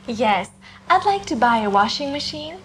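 A young woman with a slightly high voice asks plain questions in a scripted lesson scene. The recording has a faint hiss behind it. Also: very animated, moderate pace, fairly bright tone. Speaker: customer in a lesson dialogue. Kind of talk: scripted classroom dialogue.

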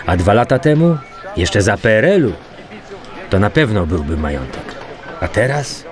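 Brusque homicide detective, lively intonation. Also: male voice, on the low side, a little slow, over music. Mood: wry, relaxed. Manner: brusque, colloquial, swearing. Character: weary, brusque male homicide detective in 1991 Poland. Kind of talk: naturalistic radio crime drama acting.

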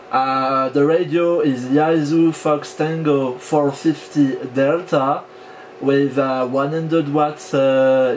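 A man with a French accent making a ham radio contact, speaking haltingly and deliberately as an amateur operator. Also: fairly high pitch, slight background noise. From France. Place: indoor home radio station.

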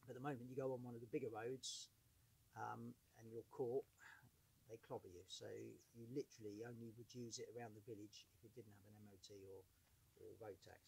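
A man in his fifties, hoarse from bronchitis, chatting casually to camera outdoors, rambling with many fillers and coughs. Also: mid-range voice, fairly even intonation, brisk, a little mellow, a little hiss or hum, poor audio. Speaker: vlogger. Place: outdoors in a sunny yard by a woodpile. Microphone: far camera on a tripod.

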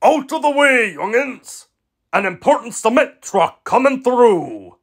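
A man voicing a bossy, self-important cement truck in a children's cartoon, loud, boastful and exclamatory. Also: very high-pitched, sing-song, measured pace, breathy edge, heavily acted. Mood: bossy, flustered. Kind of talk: animated children's show character acting.

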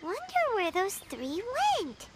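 A woman's voice: very high voice, expressive, a little slow, heavy background noise. A woman voicing a tiny, childlike fairy companion in a video game, easily scared and whiny. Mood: reluctant, nervous. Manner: talks of herself in third person, whiny.

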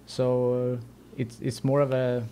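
Swedish guy on a podcast, mellow flat voice, halting, bit of background noise. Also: medium pitch. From Sweden. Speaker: podcast guest. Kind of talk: casual interview answers.

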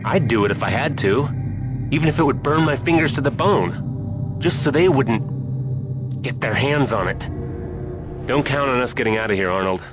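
An actor playing a weary, idealistic scientist in a sci-fi game, speaking earnestly and deliberately. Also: male voice, mid-range voice, lively intonation, over music, close to an everyday voice. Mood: impassioned. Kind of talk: video game cutscene acting.

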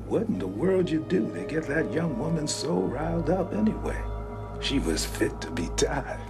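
A man's voice: on the high side, sing-song, moderate pace, creaky. An actor playing an enigmatic, weary mailman in a video game, with a folksy, knowing American manner. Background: background music.

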